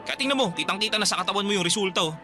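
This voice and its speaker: An actor playing a scornful, blunt young boyfriend who nags and mocks in a Tagalog radio drama. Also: male voice, sits high, animated, racing, over music. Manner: mocking, blunt, nagging.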